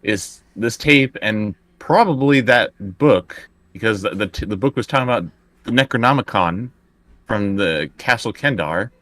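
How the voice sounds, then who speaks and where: A man's voice: fairly low pitch, expressive, moderate pace, fairly bright tone, no room sound. A man playing a frightened, insistent college student in a tabletop horror game, stammering and repeating himself. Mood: alarmed, insistent.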